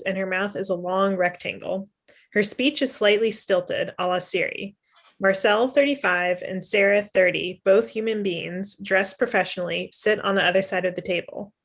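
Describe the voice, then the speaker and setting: A woman's voice: medium pitch, a bit bright. A middle-aged woman reading screen directions as plain scripted narration over a video call. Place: indoor room at home, video call. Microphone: computer mic on video call.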